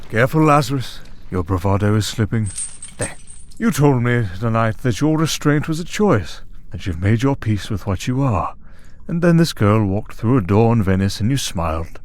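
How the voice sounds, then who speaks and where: A man playing a smug, tempting servant of a dark master in an ASMR audio drama, speaking in a deliberate, taunting, persuasive way. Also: on the low side, expressive, natural voice. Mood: taunting, seductive. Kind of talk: ASMR audio roleplay acting.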